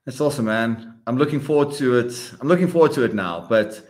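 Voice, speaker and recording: A male coach hosts a laid-back podcast chat with a middle-pitched, ordinary voice. He is blunt and swears freely. He is fighting off a sinus cold. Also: a little fast, a little mellow. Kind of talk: casual chat.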